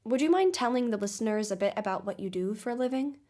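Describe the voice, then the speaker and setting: A woman playing a composed investigative podcast host, with measured, formal delivery in a scripted audio drama documentary. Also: on the high side, animated, mellow, natural voice. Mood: inquisitive, courteous.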